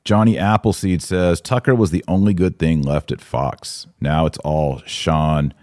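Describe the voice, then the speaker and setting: A man's voice: a bass voice, fairly even intonation, a little slow, a little mellow, no echo, studio quality. An American man hosting a live podcast, commenting and answering viewers in an emphatic way, repeating phrases for stress. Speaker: podcast host.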